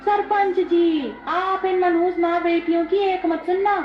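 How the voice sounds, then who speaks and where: A woman's voice: very high voice, fairly even intonation, a little slow. A woman playing a spiteful, superstitious village gossip in a moral story, accusing and scornful. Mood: vicious. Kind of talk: dramatized moral story dialogue. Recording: echoey, dull, faint music behind.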